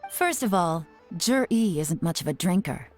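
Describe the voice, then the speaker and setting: A woman voices a shrewd female spy boss from a video game, composed and commanding, in a fairly low register. Her delivery is cool and wry, with music playing underneath. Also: expressive, normal speed, darkish tone, natural voice. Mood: shrewd, musing. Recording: background music under the dialogue.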